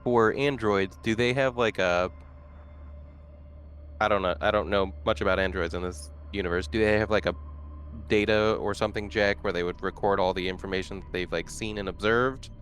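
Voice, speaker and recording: A man's voice: lowish voice, fairly even intonation, normal speed, fairly bright tone. A man playing a tabletop game, talking in a casual, conversational way. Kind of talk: casual chat. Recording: background noise on the mic.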